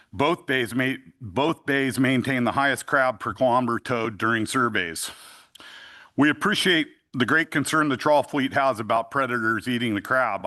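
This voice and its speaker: A man with a big, loud voice giving public testimony to a fishery council as an association representative, reading deliberate prepared remarks at a podium microphone. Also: medium pitch. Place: council meeting room.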